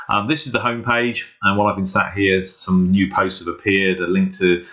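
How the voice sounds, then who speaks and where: A man's voice: sits low, pushed and bright. A man talking casually and earnestly in an unscripted, hesitant way, as host of a hobbyist walkthrough video. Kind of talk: casual screen walkthrough vlog. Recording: dull, muffled lo-fi microphone.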